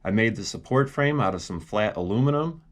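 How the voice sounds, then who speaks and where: A man's voice: lowish voice, expressive, fairly quick, a little hiss or hum. A man casually walking through a do-it-yourself project in a plainspoken, explanatory way. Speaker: DIY car vlog host. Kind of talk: casual project walkthrough with voice-over.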